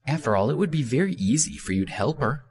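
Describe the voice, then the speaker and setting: A man voicing a young woman friend who pleads in a soft, persuasive tone. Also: medium pitch, expressive, fast talker, pitched above the performer's own voice, lightly acted. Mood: pleading.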